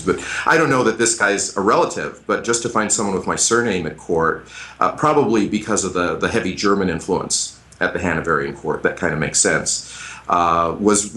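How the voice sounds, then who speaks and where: A man's voice: deep voice, sharp tone. An American man, a middle-aged history professor, explaining at length in a radio interview with frequent hesitant fillers. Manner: thoughtful, hesitant, many fillers.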